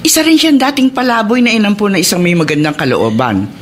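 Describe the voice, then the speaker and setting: A woman's voice: medium pitch, animated, fast talker, slight background noise, natural voice. A woman playing an older mother in a Filipino radio drama, coaxing and admonishing with emphasis. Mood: earnest persuasion. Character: older mother gently reproving her grown daughter.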